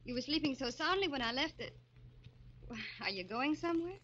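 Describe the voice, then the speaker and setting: An actress playing a kindly, trusting young woman, solicitous and expressive in 1940s radio style. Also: high-pitched, brisk. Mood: friendly, puzzled. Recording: dull, noisy old radio recording.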